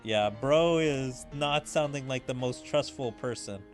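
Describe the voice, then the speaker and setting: A man's voice: mid-range voice, a little slow, soft background music. A man giving casual, slangy gaming commentary as a streamer. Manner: slangy, informal. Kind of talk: casual let's play commentary, reading game dialogue aloud.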